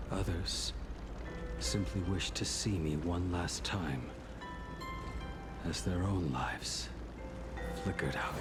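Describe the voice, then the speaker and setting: An exceptionally deep-voiced, world-weary swordsman from a fantasy game. He speaks slowly and gravely, in a hushed, breathy murmur heavy with brooding restraint. Prominent music plays behind him. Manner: grave, deliberate, understated, brooding. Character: weary, somber swordsman and former craftsman seeking life's end. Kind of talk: dramatic video game voice acting.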